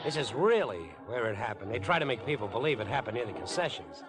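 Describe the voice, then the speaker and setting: An actor playing a cornered, mocking murderer with a soft, insinuating manner in 1950s radio suspense style. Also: male voice, mid-range voice, big pitch swings, fast talker, close to an everyday voice. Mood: sly, taunting. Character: unhinged, cornered murderer, sly and mocking young man. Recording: old radio broadcast, music and crowd behind.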